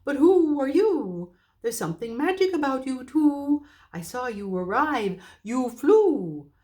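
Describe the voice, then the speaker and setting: A woman voicing a big, fussy, sleepy male owl who hoots his words high and loud in a storybook reading. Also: sing-song, slow pace, full character voice. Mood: fussy hooting. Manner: high hooting, loud and sing-song.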